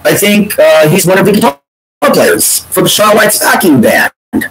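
A man in his forties with a congested, stuffy nose, rambling to a webcam from a room at home in a meandering, drawn-out way. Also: high-pitched, sing-song, a bit bright, breathy edge, rough recording. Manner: meandering, drawn-out, breaks into humming a tune. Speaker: vlogger. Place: home room with bookshelves, indoors. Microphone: webcam at desk.

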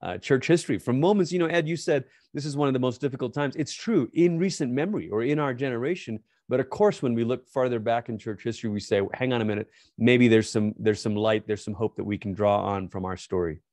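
A man's voice: mid-range voice, fast, dry recording. A man in his forties who grew up in Malaysia, a pastor and author answering interview questions earnestly from a home study on a studio microphone. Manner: earnest, explanatory, animated. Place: home study with bookshelves, remote. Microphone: boom-arm studio mic.

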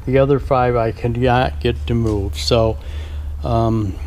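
A man's voice: medium pitch, fairly even intonation, slow, a little mellow, a little hiss or hum. A man talking casually through a walkthrough of his workshop, rambling with plenty of fillers and restarts, recorded on an action camera indoors. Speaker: shop owner and builder. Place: large workshop garage, indoors.

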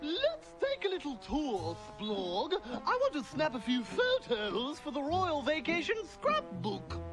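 A man's voice: very high-pitched, huge pitch swings, slow, music in the background, heavily acted. A man voicing a jolly, naive alien prince in a cartoon, with an effusive manner. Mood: delighted. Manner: posh, effusive, exclamatory. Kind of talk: animated cartoon acting.

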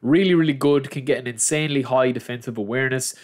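A man's voice: medium pitch, fast. Guy with a cold, bit nasally, chatty gaming walkthrough voice, calls everyone lads. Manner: chatty, addresses viewers as lads. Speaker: gaming channel host. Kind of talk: screen-recorded game guide. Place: at a computer, voice-over of screen recording.

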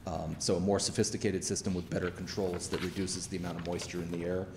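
A middle-aged man presenting a library project at a town board meeting, speaking into a podium microphone in a meeting room, measured and explanatory with frequent fillers. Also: low voice, little pitch movement, a little mellow, slight background noise.

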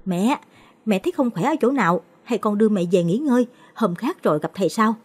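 A woman's voice: medium pitch, animated, brisk, a little mellow, husky, voice pushed up. A woman reading an anxious daughter-in-law. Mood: worried. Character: worried dutiful daughter-in-law.

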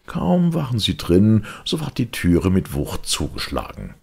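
A man reading a children's story as an audiobook narrator in an expressive, scripted style. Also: lowish voice, a little slow, gravelly, breathy edge.

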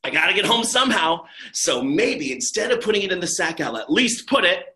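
A woman's voice: fairly low pitch, very animated, a little fast, pushed and bright, echoey room, voice pushed down. A woman doing an earnest young farm boy. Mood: puzzled.

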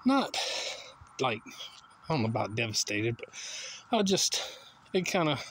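A middle-aged man rambles easygoing into a phone at arm's length as he walks a suburban street. He speaks at an unhurried pace in a mid-range voice, trailing off into fillers. Faint outdoor street sounds sit behind him. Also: medium pitch, sing-song, a bit bright, a little hiss or hum, poor audio. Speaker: vlogger. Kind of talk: casual walking vlog monologue. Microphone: phone held at arm's length.

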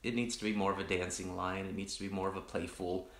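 A man, a pastor casually chatting with online viewers in a rambling, reflective way, his voice deepened by allergies. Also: little pitch movement, fast, a bit bright, echoey room. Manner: rambling, many restarts and fillers, greets viewers by name. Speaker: pastor hosting an online coffee chat.

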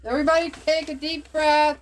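A woman's voice: high-pitched, level tone, brisk. Chatty older woman, noisy phone audio. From Nova Scotia, Canada. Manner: chatty, teasing, laughs often. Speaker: vlogger. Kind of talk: casual home vlog chat. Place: parked truck, then a home kitchen. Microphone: phone held at arm's length.

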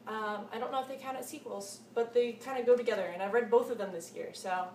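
A young woman with a fairly high voice talks casually and gushingly, like a home book vlogger. She sounds set back from the camera mic in an echoey room, with a little noise underneath. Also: quick pace, a little mellow. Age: 20s. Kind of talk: casual chat to camera about books. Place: small cluttered room at home, indoors. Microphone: camera mic a short distance away. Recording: echoey room, distant mic, faint hiss.

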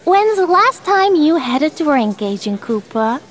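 A playful, tipsy 1950s housewife in a radio drama, teasing in a high, very animated voice. She drawls slowly, with faint music behind her.

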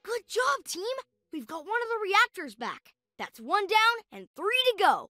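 A bold little superhero leader, with a very high, lively voice. The delivery is earnest, rallying cartoon-game acting over music. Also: female voice, a little slow, no room sound, full character voice. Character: bold young child superhero team leader. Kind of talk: animated children's game voice acting. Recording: music under the voice.

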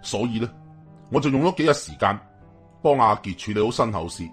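Male storyteller, Hong Kong Cantonese accent, husky and highly expressive, colloquial suspense narration. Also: a little fast, faint music behind. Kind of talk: dramatic first-person story narration.

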